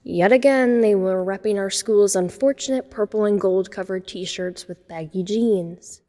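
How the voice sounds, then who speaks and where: Mellow, introspective teenage girl, acted by a woman, reverberant. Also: medium pitch, unhurried, close to an everyday voice. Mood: wry, wistful. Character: introspective, self-conscious teenage girl, a science geek outcast. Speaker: narrator and lead character. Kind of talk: first-person dramatic narration.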